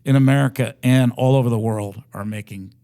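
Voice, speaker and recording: A man's voice: mid-range voice, steady tone, a little fast, warm tone, clear, resonant tone. A man co-hosting a podcast in casual chat, with a folksy, bantering way of talking. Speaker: podcast co-host.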